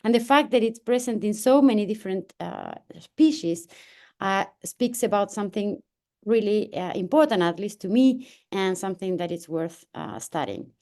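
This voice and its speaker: Woman giving a halting lecture. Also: mid-range voice, expressive, a little mellow. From Argentina. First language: Spanish. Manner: halting, many fillers and restarts. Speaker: invited researcher giving a lecture. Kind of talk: academic online talk. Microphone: video call.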